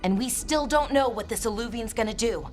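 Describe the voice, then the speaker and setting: Casting: female actor for a bookish, inquisitive companion in a fantasy game, lightly high voice, considered delivery, soft music behind. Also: brisk, close to an everyday voice. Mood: curious, thoughtful. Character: female scholarly adventurer, curious and thoughtful. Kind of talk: video game voice acting.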